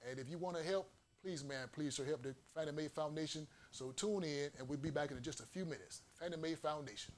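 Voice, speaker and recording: A man with a fairly high voice hosts a casual live talk show. He speaks in an upbeat, encouraging way and repeats his phrases for emphasis. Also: a little fast, few pauses, a little hiss or hum. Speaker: host.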